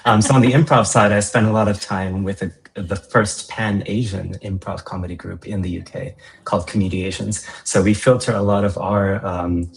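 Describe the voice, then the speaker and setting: An American man speaking as a panelist, reflective with a wry edge. His voice sits low and has a gravelly fry running through it. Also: steady tone, moderate pace, poor audio. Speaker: panelist, founder. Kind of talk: panel discussion.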